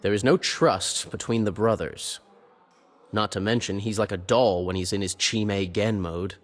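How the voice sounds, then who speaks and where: A man's voice: lowish voice, fairly even intonation, moderate pace, lightly acted. A man voicing a skeptical, blunt team member in a dramatic video game.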